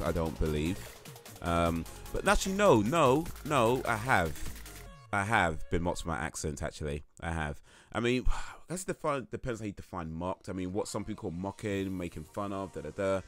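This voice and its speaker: A deep-voiced man talks casually to his stream audience, rambling and hesitant with plenty of fillers and restarts. Quiet music plays faintly underneath his voice. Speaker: livestream host. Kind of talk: casual stream chat, reading chat and quiz aloud.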